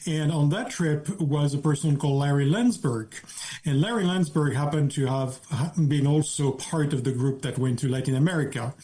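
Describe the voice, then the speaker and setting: A man's voice: a little high, normal speed, dark tone. A man, a guest author, gives a long, detailed story-driven answer in a podcast interview.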